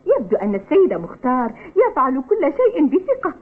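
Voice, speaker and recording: A woman voicing a spirited, nosy schoolgirl in an Arabic cartoon dub, with an animated delivery. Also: high-pitched, a little fast. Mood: amused. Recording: tinny, phone-like old TV dub audio.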